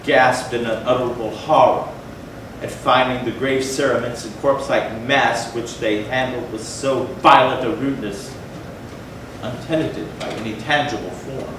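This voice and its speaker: A man narrating gothic horror as a dramatic stage reading, solemn and theatrical with deliberate phrasing. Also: medium pitch, steady tone. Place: indoor theatre, recorded from a distance. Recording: very echoey hall, far mic, noisy.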